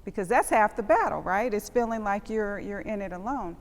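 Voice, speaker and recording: A woman's voice: mid-range voice, expressive, brisk, a little hiss or hum. A woman hosting a panel discussion, speaking in a personal, emphatic way. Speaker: panel host. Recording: long hall reverb.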